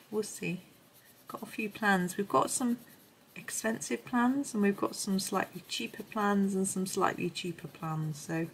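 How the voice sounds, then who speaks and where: Low-voiced woman, slightly croaky, chatting. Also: a little hiss or hum. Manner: chatty, unhurried thinking aloud. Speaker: host of a colouring supplies review. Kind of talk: casual chat while demonstrating.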